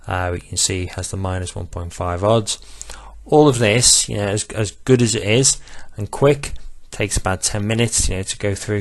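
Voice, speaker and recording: Low-voiced man, self-confessed monotone. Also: no echo. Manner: self-described monotone, measured with filler pauses. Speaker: betting software demonstrator. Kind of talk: screen-recorded tutorial walkthrough.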